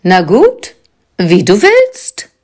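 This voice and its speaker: Slow, sassy villainous witch. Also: mid-range voice, huge pitch swings, a little mellow, soft background music, exaggerated voice. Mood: sardonic. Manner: drawling, sardonic. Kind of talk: German audio drama acting.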